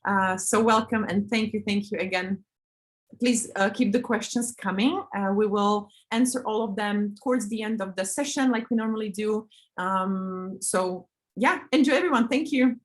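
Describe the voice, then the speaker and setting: A woman's voice: mid-range voice, normal speed. A woman moderating an online conference session, introducing the speaker in a chatty way with many fillers.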